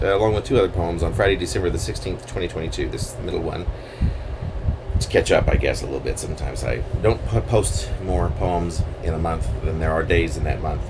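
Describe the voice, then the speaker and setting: A man's voice: deep voice, background noise. A man talking casually as a poet about his own work, in a digressive, hesitant, self-deprecating way. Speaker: poet introducing and reading own poem. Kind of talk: rambling casual talk around a poem reading.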